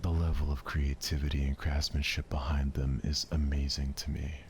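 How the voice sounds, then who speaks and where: A man voicing a gentle, reserved, bookish girl who speaks softly and earnestly about what she loves. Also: very deep voice, level tone, normal speed, slight background noise. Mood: earnest, absorbed.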